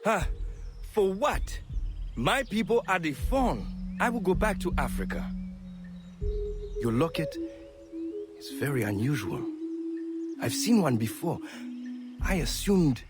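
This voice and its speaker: An actor playing a determined African escaped laborer in a video game, speaking earnestly and with emphasis. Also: male voice, fairly high pitch, very animated, slow, long pauses, loud music behind the voice. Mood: surprised, earnest. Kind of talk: dramatic video game cutscene acting.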